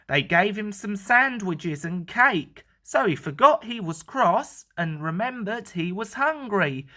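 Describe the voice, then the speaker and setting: Bright, expressive woman reading a story. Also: medium pitch. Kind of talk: children's storybook narration.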